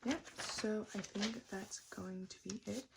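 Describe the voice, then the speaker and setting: A woman doing an ASMR roleplay as a repair technician, whispering and speaking softly in a gentle, reassuring, unhurried way. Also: medium pitch, vocal fry, background noise. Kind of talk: ASMR roleplay, mostly whispered and soft-spoken.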